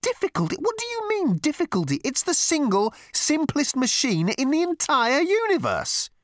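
A man's voice: sits high, huge pitch swings, normal speed, no room sound, studio quality, voice pushed up, heavily acted. A man voicing an exasperated, sarcastic male space traveller in a comic story reading. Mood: incredulous.

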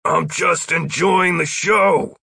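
A man's voice: medium pitch, animated, moderate pace, vocal fry, bright, forceful tone, husky, dry recording, studio quality, heavily acted. A man voicing a sly older male wizard in an audiobook, breezy, folksy and teasing as he talks persuasively. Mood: teasing, shouting.

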